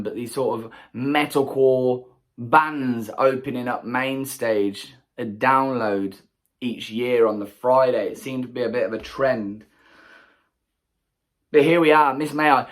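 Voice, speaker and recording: Male vlogger from England, croaky voice, chatty and rambling, mid-pitched. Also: fairly bright tone. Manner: chatty, enthusiastic, rambling asides. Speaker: festival vlogger. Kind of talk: casual solo recap to camera.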